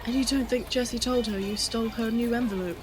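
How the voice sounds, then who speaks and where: A woman's voice: on the high side, fairly even intonation, fast, lightly acted, low-quality recording. An actress playing a determined, daring young woman in an audio drama, earnest and challenging. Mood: alarmed, skeptical.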